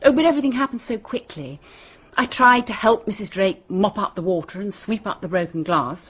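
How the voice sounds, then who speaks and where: A woman's voice: medium pitch, expressive, fairly quick, slightly rough, highs cut off. A woman playing a prim, guarded English schoolteacher in a radio drama, proper and defensive, with an English accent. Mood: recounting, uneasy.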